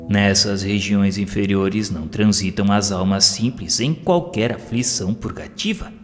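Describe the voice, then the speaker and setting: A man with a Brazilian accent narrates a scripted audio novel in a solemn, formal literary manner. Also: lowish voice. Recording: long reverb over music. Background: music.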